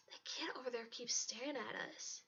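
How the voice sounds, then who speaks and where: A woman's voice: fairly high pitch, brisk, fairly bright tone, lo-fi sound. A woman voicing a resourceful, conspiratorial young girl adventurer in a matter-of-fact way for a storybook reading. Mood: secretive. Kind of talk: storybook character voice.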